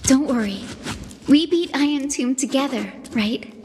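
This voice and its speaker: A woman's voice: high-pitched, a little slow, long reverb tail, background noise. A woman playing a sweet, caring young woman in a video game, with a kindly, encouraging manner. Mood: friendly.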